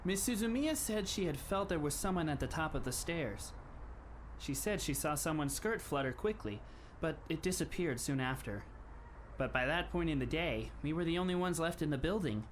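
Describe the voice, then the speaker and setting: A man in an anime fan dub playing a polite, composed teenage schoolboy who speaks in formal, measured phrases with a faintly wry touch. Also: a little high, lively intonation, a little hiss or hum, natural voice. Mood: calm explaining.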